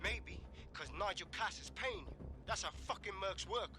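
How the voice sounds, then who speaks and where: A man's voice: high-pitched, normal speed, sharp tone, soft background music. A man playing a jumpy, foul-mouthed young hacker on the run, British accent, aggressive and agitated, in a video game. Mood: scornful. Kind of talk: video game cutscene acting.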